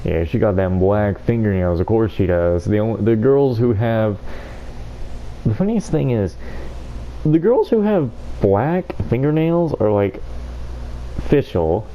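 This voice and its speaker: A man's voice: fairly low pitch, animated, measured pace, warm tone, slight background noise. A man hosting a gaming video, giving casual playthrough commentary and reading dialogue aloud. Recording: dull sound, faint game music.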